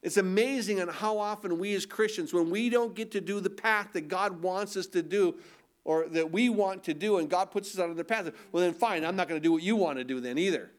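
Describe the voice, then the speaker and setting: Nasal, high-pitched male pastor; casual preaching style that leans on questions to the congregation. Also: brisk. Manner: conversational preaching with rhetorical questions. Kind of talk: sermon.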